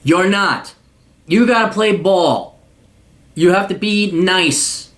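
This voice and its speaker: A man with a high-pitched voice rants his advice straight at the listener. He is blunt and crude, swears freely, and mocks the person he addresses while lecturing them on how the world works. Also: slow pace, fairly bright tone. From United States. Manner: profane, mocking, lecturing. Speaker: podcast host giving paid advice.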